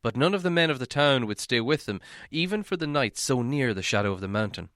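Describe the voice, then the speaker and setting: A man reading a story aloud as narration, in a measured, dramatic storytelling manner. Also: medium pitch, animated, a bit bright, dry recording, clean studio sound. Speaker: audiobook reader.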